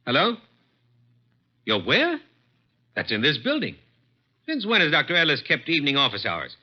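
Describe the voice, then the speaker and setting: Brusque, imperious executive, old radio. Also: male voice, on the high side, wildly swooping pitch, moderate pace. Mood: irritated. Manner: brusque, clipped. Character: curt, imperious, unforgiving male advertising executive boss. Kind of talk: 1940s radio acting. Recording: dull vintage radio recording.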